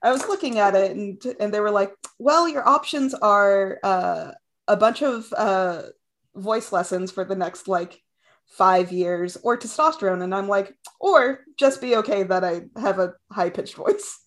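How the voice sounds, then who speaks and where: A woman's voice: mid-range voice, measured pace. A woman in casual podcast chat who talks hesitantly and makes fun of herself, with many "um"s. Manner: self-deprecating, hesitant, frequent fillers.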